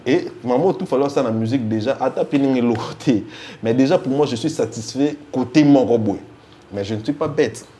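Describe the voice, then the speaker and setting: A man's voice: medium pitch, normal speed, mellow. A man with a Congolese accent speaking as a casual interview guest, emphatic and given to repeating himself. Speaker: interview guest, music fan spokesman. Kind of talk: casual interview, mixing two languages.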